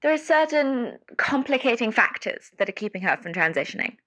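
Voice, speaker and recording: A woman's voice: a little high, big pitch swings, fairly quick, a bit bright. A woman playing an anxious, devoted caller to a radio advice show in an audio drama, hesitant and earnest. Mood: earnest, flustered. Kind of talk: scripted audio drama phone-in.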